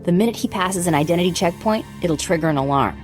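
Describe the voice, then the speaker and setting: Woman playing a low-voiced, sardonic captive hacker. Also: fast, soft background music, lightly acted. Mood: wry, eager. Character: captive young female slicer, wry and desperate to escape. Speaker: voice actor. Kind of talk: video game dialogue acting.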